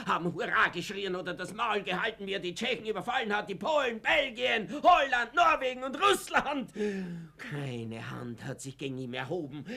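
Excitable Austrian postman, swinging pitch. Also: creaky, slightly rough, full character voice. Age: 50s. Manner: theatrical, rambling, dialect-tinged. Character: excitable, naive middle-aged postman, fervent and plaintive. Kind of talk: German radio play acting.

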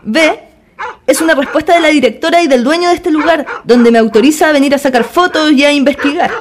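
A woman's voice: sits high, fairly quick, noisy background, lightly acted. A woman playing a polite, keen young history student in an educational radio drama.

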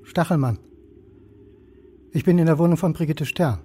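An actor playing an anxious, dogged historian turned amateur detective, in radio drama dialogue and inner monologue. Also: male voice, a little high, normal speed, dark tone, a little hiss or hum, close to an everyday voice. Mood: insistent.

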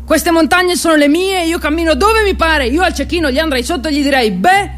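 A woman's voice: very high-pitched, a little fast. Weary, feisty mountain peasant woman. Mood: indignant. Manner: earthy, wry, sighing with effort. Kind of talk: theatrical monologue with music.

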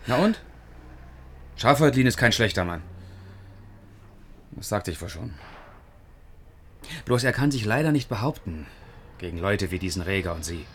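A man's voice: fairly low pitch, expressive, moderate pace, long pauses, a little hiss or hum. An actor playing a self-assured, argumentative male truck driver with an ironic, declaiming turn in radio drama dialogue. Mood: combative.

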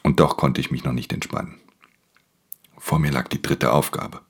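A man playing a world-weary, guarded pickpocket who narrates a radio crime drama in an intimate, gravelly voice. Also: rumbling low, steady tone, moderate pace, heavily acted. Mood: brooding, tense.

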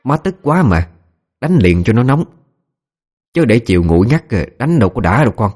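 A man's voice: medium pitch, very animated, moderate pace, a little mellow, slightly rough, voice pushed up. A man voicing a cruel middle-aged mistress of a rich household, berating in a Southern Vietnamese accent in an audio story. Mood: irritated, self-justifying. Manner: berating, snarling word by word.

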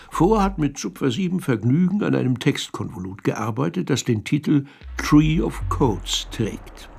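A man narrating a literary radio play as a pensive storyteller, measured and deliberate, pausing between phrases. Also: medium pitch, creaky, darkish tone, slightly rough, heavily acted. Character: pensive male narrator musing over vanished letters.

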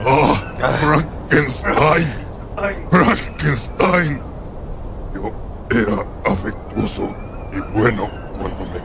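An actor playing a gigantic, sorrowful creature of dead flesh in a radio drama, speaking gravelly, halting, broken phrases. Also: male voice, medium pitch, noisy background. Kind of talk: Spanish-language radio drama acting.

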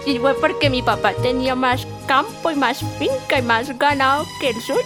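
An actress playing a frank, nostalgic old country wife with a Colombian accent in a radio drama. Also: female voice, sits high, expressive, normal speed. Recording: radio play with loud music underneath.

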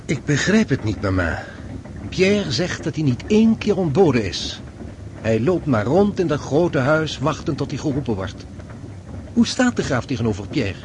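An actor in a radio drama playing a polite, frank young gentleman, composed and direct. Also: a little high, sing-song, normal speed. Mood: questioning. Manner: courteous, direct. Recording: faintly noisy radio play recording.

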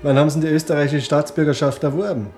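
An actor playing a brusque army major in a classic radio drama, firing curt, clipped official questions. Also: male voice, fairly high pitch, fairly even intonation, rapid-fire, a little mellow, soft background music, natural voice.

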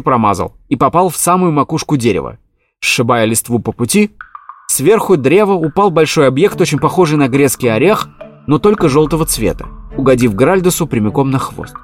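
A man narrating a children's animal story in a playful, lively way. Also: mid-range voice.

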